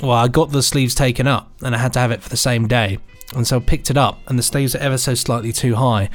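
A man's voice: medium pitch, steady tone, fast, faint music behind. A man, co-hosting a podcast, chatting in a rambling, good-humoured way and reading out listener emails. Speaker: podcast co-host.

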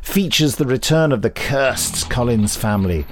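A man's voice: medium pitch, animated, normal speed, slight background noise. A man co-hosting a podcast, reading out news and chatting easily with his co-host. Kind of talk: casual chat and reading announcements. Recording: faint music behind.

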